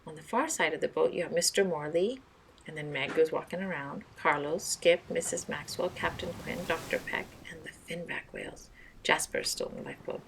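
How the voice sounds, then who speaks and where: A woman's voice: deep voice, brisk, vocal fry, a little hiss or hum, low-quality recording. A woman narrating a children's mystery storybook as a read-aloud storyteller.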